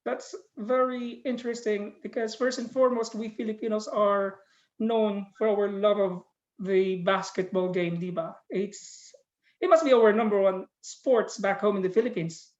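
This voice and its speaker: A woman's voice: mid-range voice, normal speed, a little mellow, highs cut off. A woman in middle age hosting a casual interview on a video call, chatty and friendly. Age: 40s or older. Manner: friendly, chatty, laughs often. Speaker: podcast host. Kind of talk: casual interview, mixing Tagalog and English.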